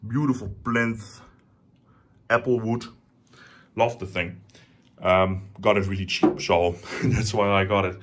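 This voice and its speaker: A man's voice: fairly low pitch, fairly even intonation, takes it slowly, long pauses. A man from the Netherlands with a Dutch accent, casually showing his home stereo system, talking with enthusiasm and many fillers and restarts. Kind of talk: casual home tour vlog. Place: living room at home.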